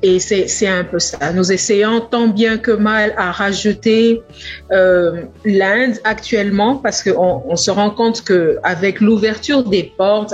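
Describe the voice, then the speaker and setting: A young woman from the Democratic Republic of the Congo with a Congolese accent, giving a measured personal testimonial with some halting repeats. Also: medium pitch. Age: young adult. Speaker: entrepreneur, grocery store owner. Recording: dull lo-fi sound, faint music.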